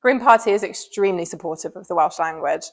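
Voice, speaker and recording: A woman's voice: on the low side, quick pace. An English woman with an English accent, giving a considered interview answer as an election candidate. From England.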